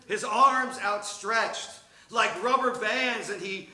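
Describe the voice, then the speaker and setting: A man with a forceful, bright voice performs a dramatic reading of a memoir. He takes his time and stretches words for emphasis, and the roomy sound of a live stage surrounds him. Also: sits high. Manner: theatrical, emphatic, drawn-out delivery. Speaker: reader at a live storytelling show. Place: theatre stage at a live show.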